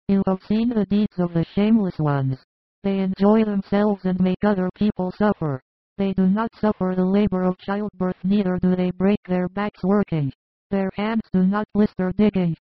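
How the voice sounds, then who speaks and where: A woman's voice: medium pitch, no room sound, lightly acted. A woman playing a humble, overworked village mother in a scripted audiobook drama, earnest and deferential. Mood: aggrieved. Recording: dry, narrow phone-like sound.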